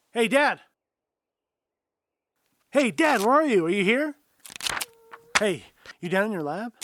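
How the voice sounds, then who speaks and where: Man acting snarky kid, audio drama, high-pitched, exasperated, informal, anxious, faint noise. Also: a bit bright, full character voice. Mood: annoyed, calling out. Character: anxious, snarky kid searching for missing father.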